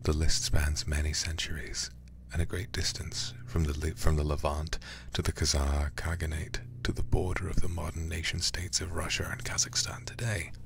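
Male ASMR game streamer, extremely low voice, breathy whisper, hushed and deliberate delivery, close-miked. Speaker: livestreamer playing a horror game. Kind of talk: ASMR game narration and reading aloud. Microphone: close ASMR microphone.

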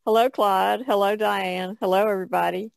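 A woman's voice: medium pitch, fairly even intonation, normal speed, vocal fry, no room sound. A woman with an American accent, an artist introducing herself and chatting casually on a podcast. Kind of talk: casual spoken self-introduction and chat.

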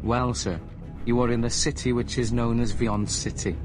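Man doing polite young hero type, earnest and apologetic, mid-pitched, music bed underneath. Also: level tone, moderate pace, close to an everyday voice. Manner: earnest, apologetic, later indignant. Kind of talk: amateur voice drama.

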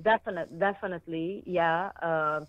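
Halting woman on a tinny phone line. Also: lowish voice, fairly even intonation, no echo. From Lebanon. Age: 50s. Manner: halting, hesitant, searching for words. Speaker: phone-in caller. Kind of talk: personal account on a radio call-in.

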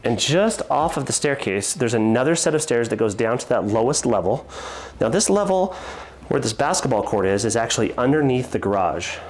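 A man's voice: medium pitch, sing-song, fairly quick. An enthusiastic man in his thirties leads a walkthrough tour of a big empty home, talking to camera as he points things out. He has a mid-range voice. The bare rooms give his voice a roomy echo. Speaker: real estate agent giving a house tour. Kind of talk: walkthrough house tour narration. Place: empty large house, echoey tiled rooms and basement. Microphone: handheld camera, small clip-on mic.

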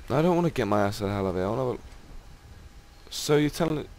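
A young man with a croaky voice casually commenting on his gameplay, excitable and swearing. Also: medium pitch, fast, slight background noise. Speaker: let's play gamer.

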